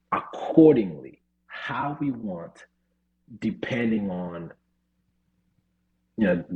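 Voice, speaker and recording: Laid-back man teaching code, lowish voice. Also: a little mellow, low-quality recording. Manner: casual, fillers like "right?" and "you know". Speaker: coding instructor. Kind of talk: live online coding class.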